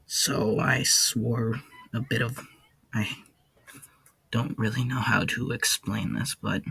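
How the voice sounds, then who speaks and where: A man playing a weary, haunted ancient warden in an audio log, speaking in a halting, somber way with a gravelly, husky low voice. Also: a bit bright, dry recording, full character voice, low-quality recording. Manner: halting, somber, hesitant delivery. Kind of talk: character audio log, slow monologue.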